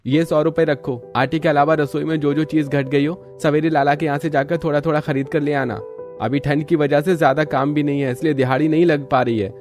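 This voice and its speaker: High-voiced grumbling village labourer, played by a man. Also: level tone, fairly quick, music in the background, lightly acted. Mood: subdued. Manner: complaining, taunting. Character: grumbling poor village labourer, adult son, irritable. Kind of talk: Hindi family cartoon voice acting.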